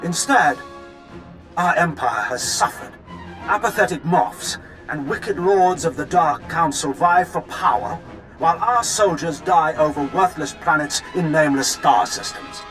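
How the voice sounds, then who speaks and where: An actor playing a defiant revolutionary leader, delivering a solemn, measured political manifesto in a sci-fi game. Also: male voice, a little high, fairly bright tone, faint music behind, rough recording. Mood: accusing, solemn. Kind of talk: dramatic political speech, video game acting.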